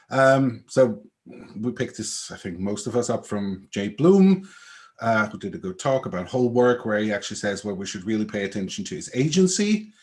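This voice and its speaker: A man with a Swiss accent and a fairly low voice talks on a video-call panel. He lays out his ideas in a thoughtful, explanatory way, as an agile coach. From Switzerland. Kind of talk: panel discussion.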